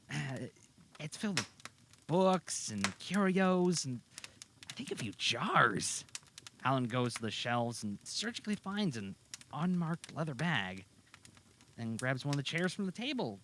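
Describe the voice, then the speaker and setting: Male audio drama narrator: slightly high, bright, forceful tone for dramatic scripted storytelling. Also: a little hiss or hum.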